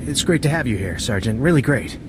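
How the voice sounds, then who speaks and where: Actor as chatty, informal soldier. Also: male voice, mid-range voice, lively intonation, fast talker, noisy background, natural voice. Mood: friendly. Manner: casual, informal. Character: chatty, eager military squad member. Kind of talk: video game voice acting.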